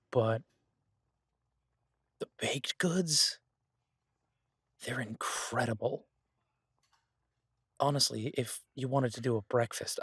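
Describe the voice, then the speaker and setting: An earnest, easygoing boyfriend and chef acted by a man talks casually in an intimate fantasy roleplay. His voice is husky and mid-pitched, reassuring and a little halting, recorded dry with no room sound. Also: dry recording. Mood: casual, enthusiastic. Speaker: audio roleplay performer. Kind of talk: intimate one-sided audio roleplay, conversational.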